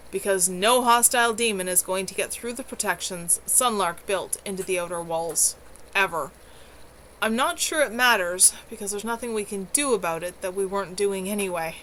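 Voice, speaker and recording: A woman's voice: mid-range voice, a bit bright, slight background noise, low-quality recording. A woman reading her own novel aloud on a livestream from home, in an unpolished amateur delivery. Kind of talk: live novel reading with casual asides.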